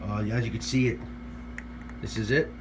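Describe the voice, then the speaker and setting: A man's voice: fairly low pitch, very noisy. Laid-back man demoing guitar gear. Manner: relaxed, offhand, halting with fillers. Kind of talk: casual workbench demo. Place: workbench room with guitar. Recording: guitar playing under the voice.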